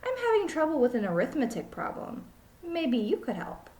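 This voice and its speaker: A woman voicing a befuddled, sweet schoolteacher from a storybook, speaking slowly and earnestly. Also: high-pitched, wildly swooping pitch, darkish tone, pitched above the performer's own voice. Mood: earnest, puzzled. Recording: echoey room.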